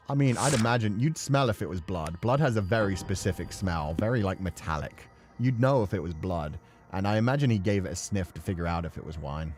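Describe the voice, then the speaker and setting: A man streaming a game with playful, expressive, casual commentary. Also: lowish voice.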